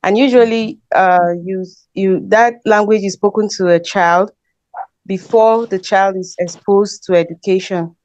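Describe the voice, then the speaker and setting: A Nigerian woman, a linguist on a panel, explains her point steadily, pausing on filler sounds. Her voice is mid-pitched and close. The line is dull and lo-fi. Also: fairly even intonation, a little mellow, no room sound. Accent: Nigerian English.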